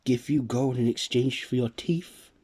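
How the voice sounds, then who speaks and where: A man voicing the Tooth Fairy, a gentle female fairy who collects teeth, speaking softly and kindly. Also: mid-range voice, a little slow, pitched above the performer's own voice, lightly acted.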